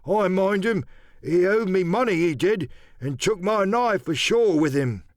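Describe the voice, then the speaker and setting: A grumbling old pirate for an audiobook, superstitious and full of rustic sailor talk. The voice is pitched high and theatrical, recorded dry and close. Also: male voice, animated, measured pace, no room sound. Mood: grumbling, excited. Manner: rustic seafaring dialect, theatrical. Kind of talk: audiobook character acting.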